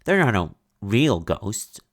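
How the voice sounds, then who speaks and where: A man's voice: on the low side, very animated, slow, voice pushed up, heavily acted. A man doing a bossy young beaver.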